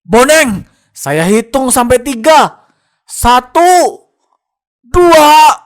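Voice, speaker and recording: A man's voice: extremely high pitch, expressive, measured pace. A man voicing a blunt, bossy, suspicious village guard in a cartoon, full of exclamations. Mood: threatening. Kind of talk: animated cartoon dialogue.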